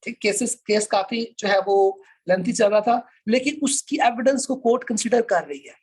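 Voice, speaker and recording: A man's voice: high-pitched, normal speed, slightly rough. A man with a Pakistani accent lecturing informally to a class, explaining step by step and repeating his points. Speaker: law lecturer.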